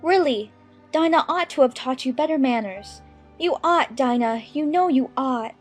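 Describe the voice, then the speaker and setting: A woman playing a lively, chattering little girl who mock-scolds her kitten in a dramatized children's storybook. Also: high voice, normal speed, faint music behind. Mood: mock scolding.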